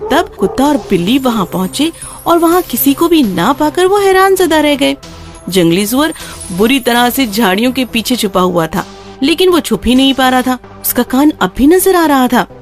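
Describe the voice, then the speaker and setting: Female narrator for kids' fables, fairly high voice, scripted storytelling over music. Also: big pitch swings, moderate pace. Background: soft music.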